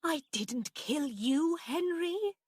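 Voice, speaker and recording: A woman voicing a haughty older aunt with a guilty secret, in a slow, dramatic, breathy delivery. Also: mid-range voice, fairly even intonation, long reverb tail, distant mic, close to an everyday voice. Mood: frightened, pleading.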